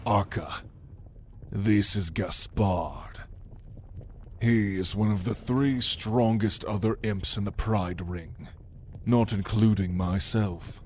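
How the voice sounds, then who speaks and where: Male actor as imperious demon mentor, low and unhurried, cold authority, fantasy audio roleplay, noisy ambience behind. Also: a little mellow. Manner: slow, measured, imperious. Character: commanding, cold, calculating male demon lord mentoring a newborn. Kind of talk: ASMR fantasy roleplay acting.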